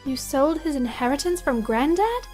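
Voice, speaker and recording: A woman's voice: high voice, very animated, normal speed, darkish tone, faint music behind. A woman playing a sarcastic, bewildered seventeen-year-old girl with dry asides in an audio drama. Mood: incredulous.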